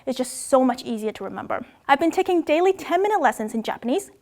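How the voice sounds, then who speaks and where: A woman from Macau, raised in Australia, narrates a scripted lesson on word origins. Her voice sits high and she delivers it in an upbeat, playful way, with sudden excited jumps in pitch. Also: fast talker. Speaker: language video host.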